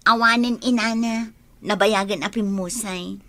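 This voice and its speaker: A woman's voice: mid-range voice, steady tone, measured pace, fairly bright tone. An actress with an Ilocano Filipino accent playing a gushing, boastful young woman in a radio drama. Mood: earnest. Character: boastful young woman chasing a rich boyfriend.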